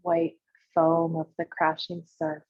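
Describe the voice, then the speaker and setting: A woman leading a guided visualization as a meditation guide, speaking in short, unhurried instructional phrases with pauses between them, in a slightly husky voice. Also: low-pitched, completely flat delivery. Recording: muffled, roomy, echoey sound.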